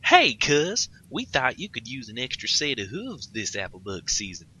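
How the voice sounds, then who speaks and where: A man's voice: mid-range voice, huge pitch swings, normal speed, bright, forceful tone, a little hiss or hum, pitched above the performer's own voice. A man voicing a cheeky, teasing young country stallion pony with a folksy manner, in a comic fan fiction reading. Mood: friendly.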